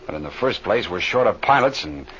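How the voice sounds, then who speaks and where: A seasoned, steady flight leader in a vintage radio adventure. He talks quickly and folksily, with lively swings in pitch. The recording is muffled and faintly hissy. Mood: troubled, serious. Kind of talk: old-time radio drama acting.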